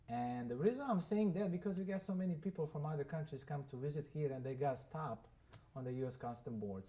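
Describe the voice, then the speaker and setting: A man's voice: mid-range voice, darkish tone. A man hosting a podcast interview, speaking enthusiastically and repeating his points for emphasis. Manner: enthusiastic, emphatic and repetitive phrasing. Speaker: podcast host.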